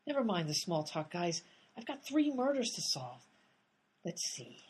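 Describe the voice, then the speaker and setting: A woman playing an impatient amateur detective in a comedy radio play, brisk and exasperated as she works the case. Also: fairly low pitch, very animated, a little mellow, husky.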